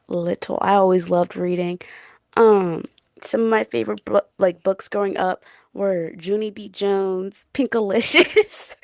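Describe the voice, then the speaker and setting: A young woman with a noticeably creaky, mid-pitched voice chats as a podcast co-host. Her delivery is casual and slangy, padded with fillers and prone to giggles. The sound is close and dry. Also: darkish tone, no room sound. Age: around 20. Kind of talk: casual chat.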